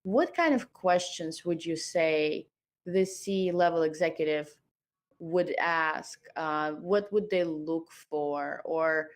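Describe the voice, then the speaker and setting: A woman's voice: deep voice, slow. A woman hosting an interview, asking long, stacked questions with a few fillers. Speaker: podcast host.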